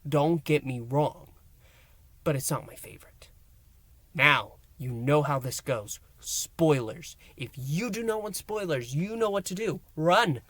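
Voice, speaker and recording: Theatrical male reviewer, higher-pitched voice. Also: a bit bright, no echo. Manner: theatrical, emphatic, with dramatic pauses. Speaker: host, reviewer. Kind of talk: enthusiastic scripted-style recap and review to listeners.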